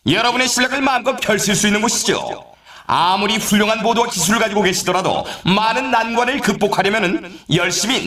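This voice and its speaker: High-pitched, swooping snowboard game announcer. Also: male voice, reverberant, exaggerated voice. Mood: hyped, grand. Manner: theatrical, showy, exclaiming. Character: excitable male extreme snowboarding event announcer. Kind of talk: hyped sports game announcing, scripted.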